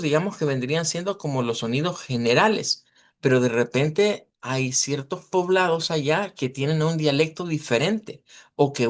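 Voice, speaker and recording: A man with a Latin American Spanish accent giving an informal language lesson, explaining pronunciation deliberately and often checking understanding with short tag questions. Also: medium pitch. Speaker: language teacher.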